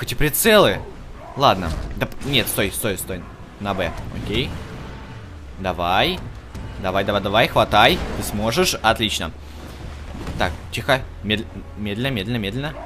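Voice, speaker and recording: A man giving casual let's play commentary as host, chatting to the game's characters and to his viewers. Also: mid-range voice. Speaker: gaming video host.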